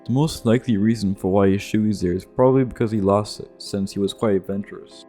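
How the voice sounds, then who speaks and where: Male narrator, lowish pitch, casual scripted gaming commentary, irreverent delivery, guitar music bed. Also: quick pace, warm tone. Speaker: video essay narrator. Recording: music behind the voice.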